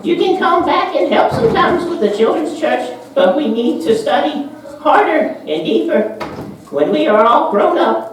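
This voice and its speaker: A woman's voice: sits high, very animated, normal speed. A woman playing a patient Sunday school teacher in a children's puppet show. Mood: instructive. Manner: instructive, patient. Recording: echoey, noisy room, distant mic.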